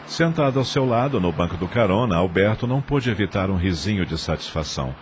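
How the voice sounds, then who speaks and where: A man's voice: sits low, lively intonation, brisk, background noise. A man reading scripted narration for a dramatized audio novel.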